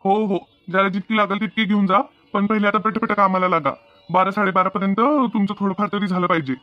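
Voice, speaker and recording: A man voicing an impatient farm owner in a village cartoon, brisk and matter-of-fact, with a rural Maharashtrian Marathi accent. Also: sits high, little pitch movement, no room sound, soft background music, natural voice. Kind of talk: cartoon comedy dialogue. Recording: dull lo-fi, dry close sound.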